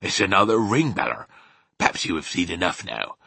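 Man voicing a husky, folksy, kindly giant. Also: deep voice, very animated, a little fast, sharp tone, no room sound, exaggerated voice. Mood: pleased. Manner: folksy, muddled grammar, emphatic. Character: kindly, simple-spoken old giant, enthusiastic about dreams.

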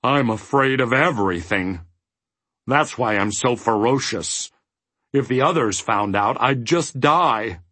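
A man's voice: medium pitch, expressive, measured pace, bright, forceful tone, dry recording. Man voicing a huge, blustering, fearful giant. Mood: anxious, confiding. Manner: bellowing, hedging, indecisive. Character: huge fearful, ferocious gelatinous male giant, peevish.

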